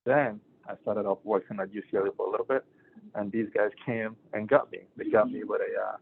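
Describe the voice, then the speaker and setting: A man's voice: fairly low pitch, little pitch movement, a little fast, vocal fry, a little hiss or hum. A middle-aged man from Ghana, a physician giving a casual talk to students, rambling with many fillers and restarts. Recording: tinny phone-like sound.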